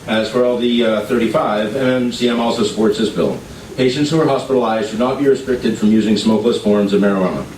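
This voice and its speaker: A man representing an advocacy group, reading prepared testimony in a measured, formal way at a legislative hearing. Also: lowish voice, fairly even intonation, darkish tone, a little hiss or hum. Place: legislative committee hearing room. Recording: echoey hearing room, distant mic.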